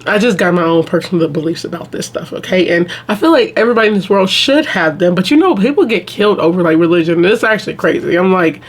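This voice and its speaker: A woman in her mid-twenties from the American South, chatting casually to her viewers, full of "y'all" and quick restarts. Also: lowish voice, expressive, breathy edge. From Southern United States. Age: 20s. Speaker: vlogger. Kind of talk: casual chat to camera.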